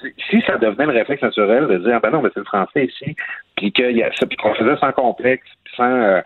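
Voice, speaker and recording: A man with a Quebec French accent talking casually on the radio as a guest, relaxed and hesitant with many fillers. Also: medium pitch, fast. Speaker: columnist guest. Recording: phone line.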